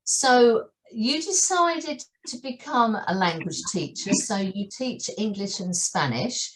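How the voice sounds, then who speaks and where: A woman's voice: mid-range voice, expressive, slow pace, fairly bright tone, slight background noise, poor audio. A woman from the UK hosting a podcast interview, asking questions and reacting with enthusiasm. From United Kingdom. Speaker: podcast host.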